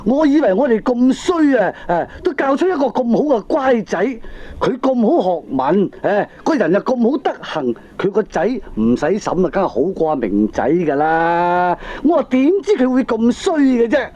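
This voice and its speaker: A man's voice: high-pitched, sing-song, normal speed, a little mellow. An actor playing a flustered, evasive father in a Hong Kong Cantonese comic radio drama, whiny and sighing with exasperation. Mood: whining, scheming. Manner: whiny, exasperated, full of sighs. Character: flustered, evasive father, a boozy blustering schemer. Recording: dull 1980s audio cassette recording.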